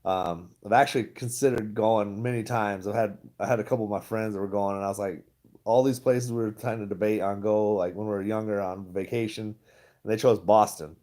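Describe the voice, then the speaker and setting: Man, lowish voice, relaxed, rambling, laughs a lot. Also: brisk. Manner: laid-back, rambling, laughs often. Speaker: stock vlogger. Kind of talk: casual livestream chat.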